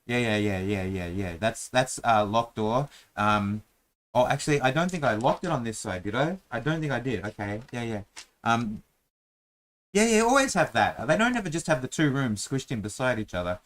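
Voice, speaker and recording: Brief: easygoing male host, mid-pitched, chatty and self-mocking. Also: big pitch swings, normal speed, a bit bright. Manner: playful, rambling, self-mocking. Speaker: host drawing a dungeon map. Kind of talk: casual chat while drawing.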